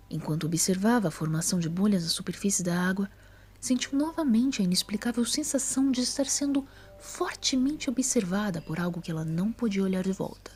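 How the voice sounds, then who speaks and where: A woman narrating a scripted story as an expressive storyteller. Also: medium pitch, husky.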